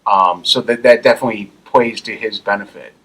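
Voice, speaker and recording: A man co-hosting a podcast, retelling anecdotes casually in a halting way. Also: fairly low pitch, a bit bright, rough recording. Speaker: podcast co-host.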